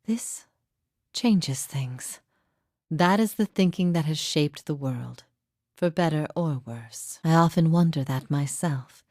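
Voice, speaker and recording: A woman playing a grave, weary yet steadfast imprisoned heretic prophet in a fantasy game, speaking formally and with measured solemnity. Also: low voice, dry recording, studio quality, exaggerated voice. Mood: reflective, earnest. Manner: solemn, measured, formal, often dropping to breathy softness. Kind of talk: dramatic fantasy game dialogue.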